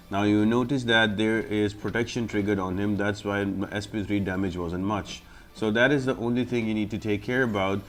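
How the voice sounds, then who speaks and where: A man giving step-by-step gameplay walkthrough commentary as the host of a guide video, explaining as he plays. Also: lowish voice.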